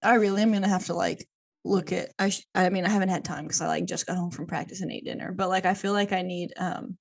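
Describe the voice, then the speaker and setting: A woman's voice: on the low side, fairly even intonation, quick pace, vocal fry, no room sound. Chatty woman with a dry close sound. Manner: rambling, heavy use of "like". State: losing her voice. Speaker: podcast co-host. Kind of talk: casual chat.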